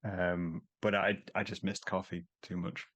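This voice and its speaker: A man's voice: sits low, level tone, unhurried, fairly bright tone, husky, dry recording, muffled recording. A man hosting a podcast with a nasal voice, chatting in an easygoing, self-deprecating way over a video call. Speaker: podcast host. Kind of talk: casual interview chat.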